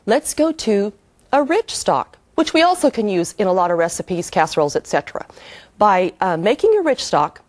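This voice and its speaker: A woman's voice: medium pitch, sing-song, moderate pace, a little mellow, dry recording. A middle-aged woman hosting a cooking show, talking viewers through each step in a chatty, homey way while cooking at the stove. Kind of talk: cooking demonstration. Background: sizzling pan and stirring.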